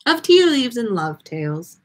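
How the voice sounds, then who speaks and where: A woman reading a story aloud in a theatrical, expressive way with drawn-out words and pauses, as the host of a livestream. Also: fairly high pitch. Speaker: podcast host reading listener fan fiction on a livestream.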